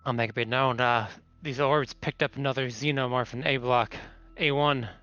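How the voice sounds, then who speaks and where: A man's voice: medium pitch, steady tone, normal speed, bright, forceful tone, dry recording. A man playing a tabletop roleplaying game, talking casually about what his character does. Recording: dull, lo-fi sound with music behind.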